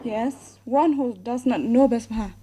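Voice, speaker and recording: A woman with a high, bright voice, speaking briskly and helpfully from behind an office desk. She plays the clerk in a sitcom scene. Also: background noise. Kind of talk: comedy series acting.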